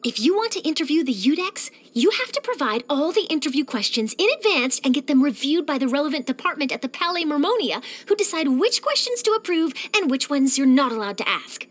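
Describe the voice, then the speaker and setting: A woman voicing an eager, nosy young female journalist in a video game, chatty, theatrical and expressive. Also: high voice, a little fast, few pauses. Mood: weary, exasperated. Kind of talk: animated game character voice lines.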